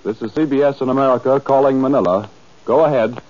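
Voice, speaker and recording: A man announcing formally and in measured phrases on a live 1940s network radio broadcast. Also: mid-range voice, highs cut off.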